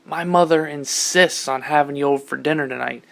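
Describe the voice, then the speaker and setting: A man's voice: a little high, fairly quick, vocal fry. A man playing a friendly, encouraging young swing fan in an audio drama, eager and reassuring. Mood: cheerful.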